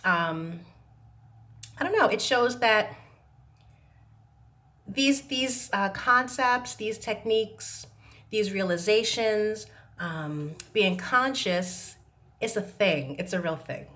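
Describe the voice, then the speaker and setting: A woman talking to camera about self-healing, hesitant with many pauses and restarts, her voice still a little rough from laryngitis. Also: mid-range voice, fairly bright tone. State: recovering from laryngitis, slight roughness. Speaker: self-help vlogger. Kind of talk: reflective talk to camera. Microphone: camera mic.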